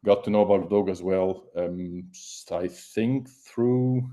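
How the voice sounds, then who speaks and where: Low, dark-voiced man. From Egypt. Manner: halting, searching for words. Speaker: meeting participant, software developer. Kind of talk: casual self-introduction on a video call. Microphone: video call.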